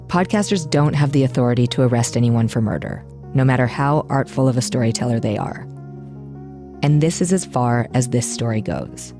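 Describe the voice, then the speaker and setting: An actress playing an earnest true-crime podcast host, narrating reflectively. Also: female voice, very deep voice, dark tone. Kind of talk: scripted narration.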